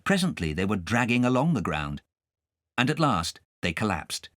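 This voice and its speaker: A man reading a children's story aloud as an expressive audiobook narrator. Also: on the low side, moderate pace, dry recording, studio quality.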